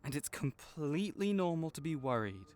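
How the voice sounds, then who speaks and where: A man playing a kind, patient veterinarian for magical creatures in a comforting audio roleplay, explaining things gently and encouragingly. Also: fairly high pitch, very animated, normal speed. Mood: firm, reassuring. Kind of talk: comforting audio roleplay, one-sided conversation.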